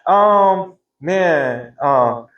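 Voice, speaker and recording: A man of fifty from Florida, telling stories from his life in a relaxed interview. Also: on the high side, extremely slow, dull audio. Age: 50s. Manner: relaxed, laughing, Southern slang. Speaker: interview guest, singer. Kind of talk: casual storytelling interview.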